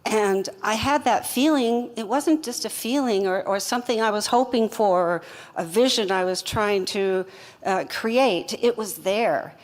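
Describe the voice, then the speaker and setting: An older woman, a company co-founder, telling her story in an earnest, reflective and measured way. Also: mid-range voice. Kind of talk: fireside chat interview answers. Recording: long hall reverb.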